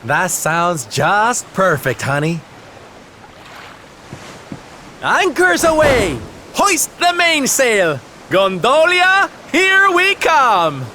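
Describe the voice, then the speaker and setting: A flamboyant, theatrical showman speaks in a game cutscene, dramatic and calling his friend by pet names. His pitch swoops widely while he takes his time over the words. Surf and waves wash loudly behind him. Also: huge pitch swings, slow, a little hiss or hum, full character voice. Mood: gleeful. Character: flamboyant theatrical male entertainer, adult. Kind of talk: video game cutscene voice acting.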